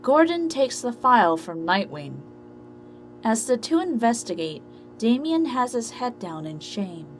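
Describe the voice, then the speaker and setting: A woman's voice: a little high, sing-song, a little slow, slight background noise, rough recording. A woman reading scripted narration for an amateur audio drama story.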